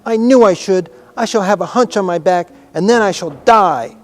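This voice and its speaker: Guy doing a hysterical sobbing little boy for a storybook, high voice, gasping and choked. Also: normal speed, faint music behind, natural voice. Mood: panicked, choking.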